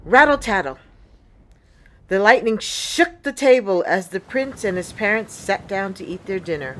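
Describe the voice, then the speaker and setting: A woman reading a children's picture book aloud as a storyteller, in an expressive, deliberate way. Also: medium pitch. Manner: deliberate, expressive storybook delivery with acted sound words.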